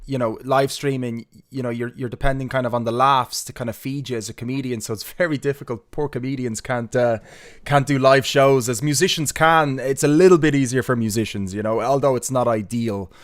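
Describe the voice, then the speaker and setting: A man's voice: medium pitch, steady tone, a little fast. A man hosting a live show, asking rambling, friendly questions full of fillers. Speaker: show host. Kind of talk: casual interview chat.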